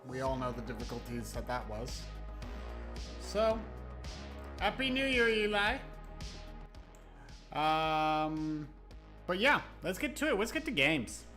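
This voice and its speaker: A man's voice: high voice, expressive, takes it slowly, long pauses, pushed and bright. A man hosting a livestream, chatting casually with viewers in a rambling, stop-start way over background music. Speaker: live streamer and host. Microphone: new close microphone, no webcam.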